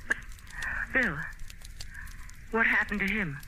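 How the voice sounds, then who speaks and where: A woman's voice: medium pitch, lively intonation, takes it slowly. A vulnerable young woman in distress in a 1950s radio melodrama. She sounds anxious and pleading on a noisy old recording. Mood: distraught, pleading. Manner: earnest, pleading.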